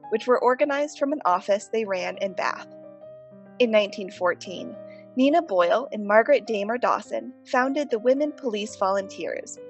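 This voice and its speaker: Woman narrating informatively over music. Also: medium pitch. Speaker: playwright. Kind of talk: scripted documentary narration.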